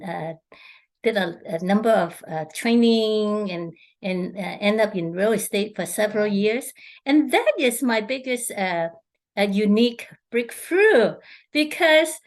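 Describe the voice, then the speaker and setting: A middle-aged woman from Hong Kong, a feng shui consultant answering interview questions in a halting way, with many fillers and restarts. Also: mid-range voice.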